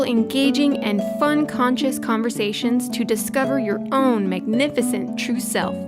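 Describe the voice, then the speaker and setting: Woman announcer, polished promo read, harp music loud underneath. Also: fairly high pitch. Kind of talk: scripted show intro.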